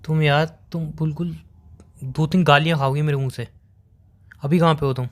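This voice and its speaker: A man's voice: on the high side, steady tone, a little fast, lightly acted. Man playing an insistent, worried young boyfriend. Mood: worried, pleading. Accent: Indian. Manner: pleading, questioning, repeats himself insistently. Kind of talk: phone-call relationship drama acting.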